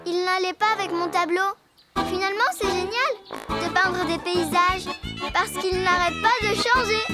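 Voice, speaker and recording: A woman playing a lively, impulsive, self-assured little girl in a French children's cartoon, childlike and expressive. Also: very high voice, normal speed. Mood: breezy, self-assured. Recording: children's music playing behind.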